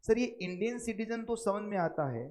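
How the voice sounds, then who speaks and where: A man with an Indian accent teaching a tax lecture, drawing out key English terms slowly and asking his students rhetorical questions. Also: sits high, lively intonation, darkish tone. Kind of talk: classroom lecture. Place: recording studio.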